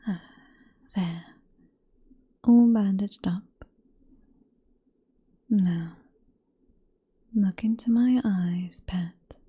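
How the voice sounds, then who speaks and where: A woman with a British accent playing a possessive, seductive vampire professor in a soft, breathy whispered ASMR roleplay, coaxing and teasing with condescending affection. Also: medium pitch, drawn-out words, pauses often, exaggerated voice. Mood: tender, possessive.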